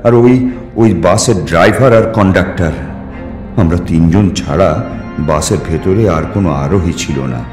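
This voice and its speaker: A man reading a ghost story in the first person, theatrically, with suspenseful, deliberate phrasing. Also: low voice, a little mellow. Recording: echoing reverb, music underneath. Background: music.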